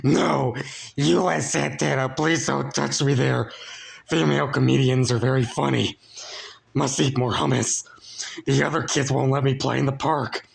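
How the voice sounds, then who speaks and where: A man's voice: mid-range voice, fairly even intonation, measured pace, creaky, pitched above the performer's own voice. A man voicing a pathetic, fearful oddball who whimpers and pleads, in a storybook reading. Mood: sleepy whimpering.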